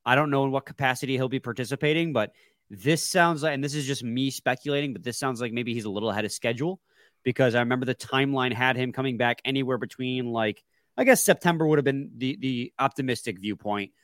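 A man's voice: medium pitch, quick pace, a bit bright, dry recording, studio quality. A man with a stuffy, congested voice, hosting a casual sports podcast with laid-back, joking banter. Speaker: podcast co-host.

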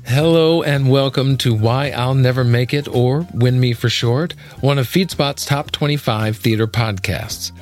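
A man's voice: medium pitch, unhurried, hardly any pauses, soft background music. A middle-aged man hosting a podcast in a polished, articulate presenting style, moving between scripted narration and interview. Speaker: podcast host, actor and singer.

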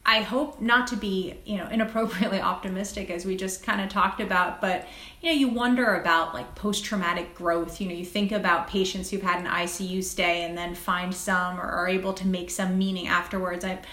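A woman speaking as a physician guest in an interview, thoughtful and reflective, often halting and repeating phrases as she finds her words. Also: on the low side, steady tone, bright, forceful tone. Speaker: guest, critical care physician. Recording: echoey, roomy sound.

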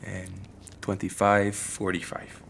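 A man acting a puzzled, hesitant character in an audio drama, speaking in a halting, questioning, naturalistic way. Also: sits low, completely flat delivery, creaky, fairly bright tone, slight background noise, heavily acted. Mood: confused, hesitant. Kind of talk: naturalistic fiction podcast acting.

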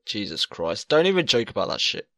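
Male podcast voice, lowish, fast and animated, blunt asides. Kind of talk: casual interjections.

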